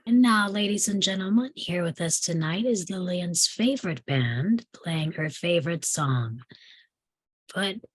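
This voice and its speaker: A woman's voice: fairly low pitch, animated, unhurried, slightly rough, no echo. A woman reading the part of a showy society woman making a gracious wedding announcement in a screenplay table read. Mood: proud, announcing.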